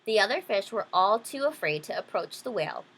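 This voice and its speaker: A woman's voice: medium pitch, big pitch swings, a little fast, fairly bright tone, slightly rough, poor audio. A woman reading a children's storybook aloud, adding simple explanations for young listeners. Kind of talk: children's picture-book reading with simple asides.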